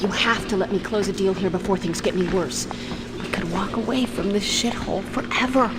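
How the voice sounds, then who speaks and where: An actress playing an ambitious, impatient young crime heiress in a video game, brash and defiant. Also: female voice, fairly quick, natural voice. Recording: loud machine rumble behind voice.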